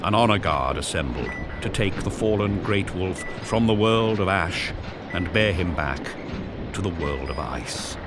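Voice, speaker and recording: Low, dramatic male narrator over loud music. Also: animated, unhurried. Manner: intense, solemn storytelling. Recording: loud music and battle effects behind. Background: loud music, battle sound effects.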